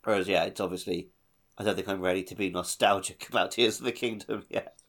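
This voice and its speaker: A man's voice: low voice, steady tone, fast, fairly bright tone. A man co-hosting a podcast, talking in a casual, conversational way. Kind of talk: casual chat.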